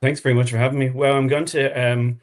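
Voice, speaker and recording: A man's voice: medium pitch, little pitch movement, fast talker. Man chatting casually as podcast guest. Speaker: podcast guest, audio drama writer-director. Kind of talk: casual interview chat.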